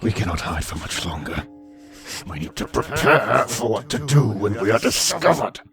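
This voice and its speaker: A man voicing an ancient, power-hungry entity inside someone's mind, urging and deliberate, in a fantasy audiobook. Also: on the low side, very animated, vocal fry, fairly bright tone, faint music behind, heavily acted. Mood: ominous urging.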